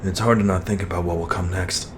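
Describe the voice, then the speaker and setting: An actor playing a weary, loyal, worrying trooper in a sci-fi audio drama, speaking in an earnest, subdued way. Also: male voice, low voice, fairly even intonation, quick pace, background noise, close to an everyday voice. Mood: worried, earnest.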